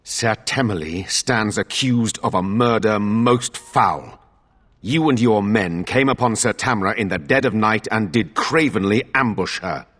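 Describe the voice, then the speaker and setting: An actor playing a stern guard captain in a video game, formal and accusing, in a reverberant hall. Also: male voice, fairly low pitch, unhurried, a bit bright.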